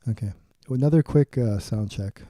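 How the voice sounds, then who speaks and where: A man's voice: lowish voice, normal speed. A man hosting his own stream, rambling casually to himself while he checks his mic between ad reads. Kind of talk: casual self-talk. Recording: loud music behind the voice.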